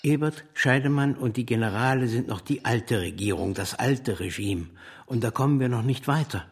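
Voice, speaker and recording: A man's voice: mid-range voice, moderate pace, husky. An actor playing an embattled male socialist revolutionary in a German radio drama, arguing in an impassioned, rhetorical way. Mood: earnest, troubled. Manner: rhetorical, declamatory.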